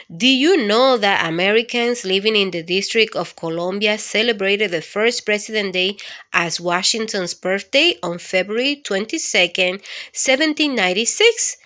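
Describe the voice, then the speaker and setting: A woman reading an educational story aloud for children, in an expressive, instructive way. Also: mid-range voice. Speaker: children's storytime host and reader. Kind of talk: read-aloud educational narration for kids.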